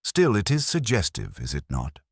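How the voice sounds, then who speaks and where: A man voicing a mild, unhurried police inspector with a quiet, measured, dryly witty delivery. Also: fairly low pitch, huge pitch swings, vocal fry, slightly rough, no echo, studio quality, voice pushed up, full character voice. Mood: mild, wry.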